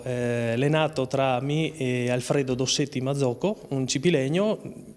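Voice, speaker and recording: A man's voice: fairly high pitch, darkish tone. A man with a strong Veneto accent giving an informal account in dialect-mixed speech. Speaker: cartoon creator. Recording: reverberant hall.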